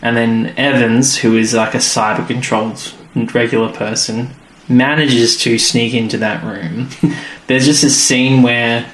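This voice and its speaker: A man's voice: mid-range voice, a bit bright. A man co-hosting a podcast, recapping episode scenes in an easygoing, casual way. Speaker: podcast co-host. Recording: echoey room, faint background noise.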